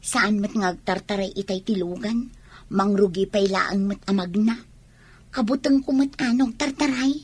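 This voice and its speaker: A woman's voice: mid-range voice, normal speed, breathy edge, lightly acted. A woman with a Filipino accent playing a brash, scheming young woman who complains to her friend in a radio drama. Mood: complaining. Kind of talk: Ilocano radio drama acting.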